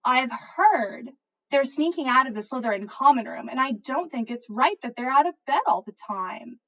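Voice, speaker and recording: An earnest teenage witch from a storybook, played by a woman. Her voice is high and plaintive, and she speaks with theatrical drama. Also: expressive, normal speed, fairly bright tone, muffled recording. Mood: worried.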